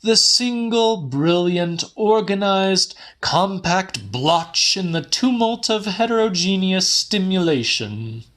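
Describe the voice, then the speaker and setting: Man acting an impassioned, high-pitched lovesick philosopher. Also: lively intonation, slow pace, hardly any pauses, fairly bright tone, heavily acted. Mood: exalted. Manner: declamatory, emphatic, professorial. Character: impassioned, lovesick, grandiloquent male philosopher lecturing his pupil.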